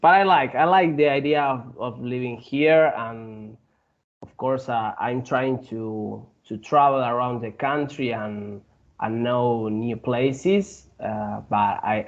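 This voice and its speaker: A man from Argentina with an Argentine Spanish accent, a guest in a casual interview who speaks haltingly, with many fillers and restarts. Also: mid-range voice, steady tone, muffled recording.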